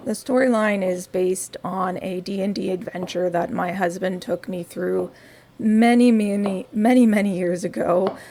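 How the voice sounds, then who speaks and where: Raspy, gravelly woman in quiet vlog. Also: mid-range voice, steady tone, a little slow, a little hiss or hum. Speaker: crafting vlogger. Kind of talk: quiet studio vlog narration. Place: home craft studio.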